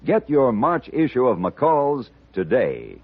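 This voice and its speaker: A man announcing a scripted 1950s radio advertisement with an emphatic sales delivery and a husky voice. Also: mid-range voice, huge pitch swings, takes it slowly. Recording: dull old radio recording.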